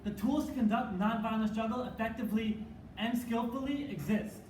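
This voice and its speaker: A woman's voice: fairly high pitch, normal speed. A young adult woman performing a rehearsed, dramatic readers theater piece as part of a student team. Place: indoor room, live stage performance. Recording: echoey room from far off, noisy.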